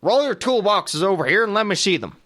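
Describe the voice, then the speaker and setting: Man acting a folksy western lawman, lively and high. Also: a little fast, background noise. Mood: commanding. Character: folksy, exasperated old west lawman keeping order. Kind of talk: comedic western radio play.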